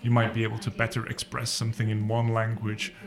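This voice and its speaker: A man, a panel guest who speaks haltingly with many pauses. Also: fairly low pitch, completely flat delivery, gravelly, crowd noise. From Wales. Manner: halting, lots of uhs. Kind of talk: panel discussion.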